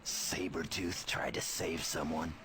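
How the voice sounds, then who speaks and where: Very deep, cocky, gruff bruiser. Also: male voice, flat delivery, takes it slowly, pushed and bright, a little hiss or hum, full character voice. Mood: mocking, cocky. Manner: taunting, slangy, sarcastic. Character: gruff, cocky, sarcastic male superpowered bruiser. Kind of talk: video game cutscene voice acting.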